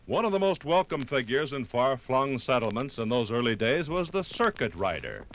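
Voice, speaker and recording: A man's voice: medium pitch, sing-song, normal speed, a bit bright, slight background noise, rough recording. An American man giving grand, formal scripted narration for a 1950s radio documentary. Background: faint music.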